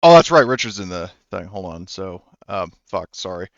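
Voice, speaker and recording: A man acting as game master in a tabletop game, talking with frequent pauses and fillers. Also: deep voice, big pitch swings, gravelly, no echo, lo-fi sound. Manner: hesitant, lots of fillers and restarts.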